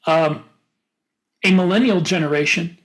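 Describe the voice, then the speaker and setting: A man's voice: a little high, measured pace. An American man co-hosting a podcast, talking casually and giving opinionated, rambling explanations. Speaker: podcast co-host. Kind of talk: casual panel discussion.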